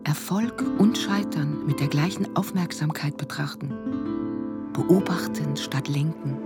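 Low solemn contemplative voice, poetic radio play, short phrases, loud dreamy music. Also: female voice, a little slow. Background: loud new-age music.

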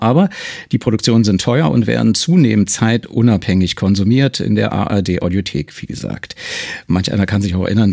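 A man's voice: mid-range voice, a little mellow. Conversational male radio host.

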